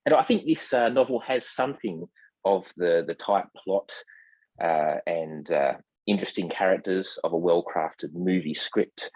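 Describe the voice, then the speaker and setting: A man speaking as a guest translator in a scholarly discussion, formal in wording, with hesitant, measured phrasing full of ums. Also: low-pitched, a little mellow, no echo, low-quality recording. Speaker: literary translator, podcast guest.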